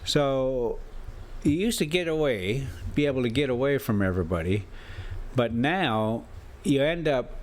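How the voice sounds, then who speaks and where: An American man with a folksy, informal way of talking, a vlogger who chats casually and reads stories aloud. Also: mid-range voice. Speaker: travel vlogger and storyteller. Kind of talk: casual rambling chat mixed with reading a classic novel aloud.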